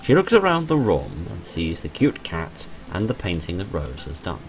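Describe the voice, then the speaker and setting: A man's voice: sits low, wildly swooping pitch, normal speed, soft background music. A man hosting a visual novel playthrough, reading the story text aloud and adding his own casual asides.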